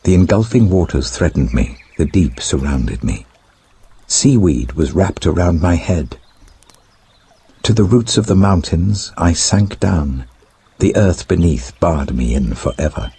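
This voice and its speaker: A man's voice: low-pitched, expressive, a little slow, mellow, slightly rough, heavily acted. A British man voicing a grave, devout, repentant prophet in a solemn, declamatory scripture reading. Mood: anguished, prayerful.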